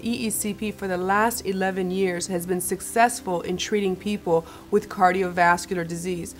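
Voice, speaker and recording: A woman's voice: on the low side, steady tone, moderate pace, faint music behind. A woman giving a promotional explanation as a clinic spokesperson, in emphatic, plain statements.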